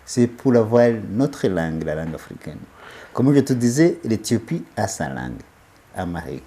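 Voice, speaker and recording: A man's voice: lowish voice, big pitch swings, normal speed, mellow. A man, a studio guest, giving emphatic political commentary, who often repeats and restarts his phrases.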